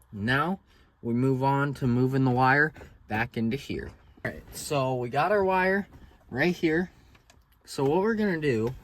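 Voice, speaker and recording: A man giving a casual, plain-spoken how-to walkthrough in an informal style. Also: medium pitch. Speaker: DIY presenter. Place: in and around a pickup truck. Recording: clear background noise and handling clicks.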